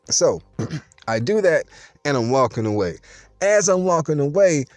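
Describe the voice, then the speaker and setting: Animated storytelling man with street slang. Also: fairly high pitch, a little slow, no room sound, faint music behind. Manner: streetwise, playful, boastful slang, addresses listeners directly. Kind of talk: casual storytelling to viewers.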